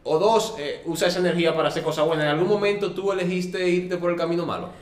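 A man's voice: high voice, steady tone, fast talker. A man hosting a casual interview, asking follow-up questions and laughing along with his guest. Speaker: interview host. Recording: echoey room.

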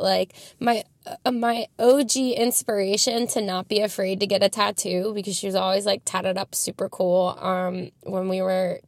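A woman's voice: fairly high pitch, no room sound. A woman in her twenties hosting a casual podcast, chatty, laughing often and swearing freely. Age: 20s. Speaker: podcast host. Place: house where she is dog sitting.

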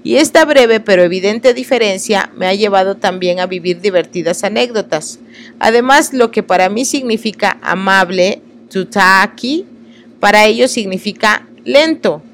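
A woman's voice: medium pitch, lively intonation, moderate pace, a little hiss or hum. A woman from Oaxaca, reading texts aloud and stopping for earnest, apologetic asides when she stumbles over unfamiliar words. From Oaxaca, Mexico.

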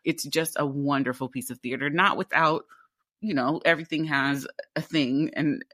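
A woman in her twenties speaks in a deep, low alto, her pitch sitting near the bottom of the range. She talks candidly and with animation, firing off rhetorical questions, and the sound is dry and close with no room echo. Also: fairly even intonation, normal speed, a bit bright. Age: 20s. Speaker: interview guest, theatre actor. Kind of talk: casual interview conversation.

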